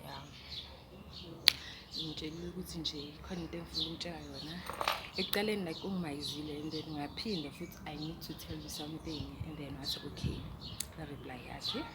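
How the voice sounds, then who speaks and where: A young South African woman, a native Zulu speaker, talks in a casual vlogging style. Her voice sits a little low for a woman. Heavy ambient noise and chirping birds surround her. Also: fairly even intonation, quick pace. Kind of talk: casual chat to viewers, mixing languages.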